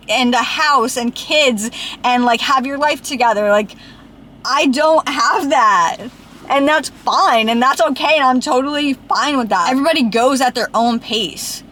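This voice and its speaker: A woman's voice: on the high side, a bit bright, a little hiss or hum. A woman in her late twenties giving a casual vlog to camera, rambling and filling her speech with "like". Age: late 20s. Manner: chatty, fills with "like", speeds up when venting. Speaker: vlogger.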